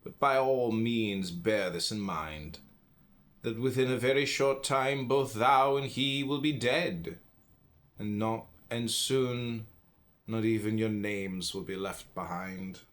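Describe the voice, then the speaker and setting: Low-pitched man reading philosophy aloud. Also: takes it slowly. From United States. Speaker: audiobook reader.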